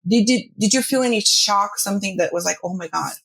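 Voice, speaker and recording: A woman's voice: medium pitch, a little fast, gravelly, a bit bright. A woman hosting a podcast interview, asking friendly, long, winding questions.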